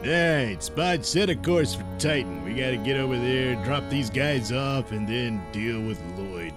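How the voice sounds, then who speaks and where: A man's voice: medium pitch, lively intonation, measured pace, over music, natural voice. A man playing a brash, crude, put-upon space hauler, folksy and grumbling, in a comic sci-fi audio serial. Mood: defiant.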